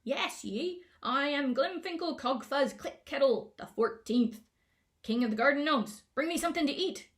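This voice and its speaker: A woman's voice: high voice, lively intonation, moderate pace, voice pushed up. A woman voicing a self-important male gnome king, bellowing bossy demands like a child in a tantrum, in a storybook reading. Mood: imperious, bellowing.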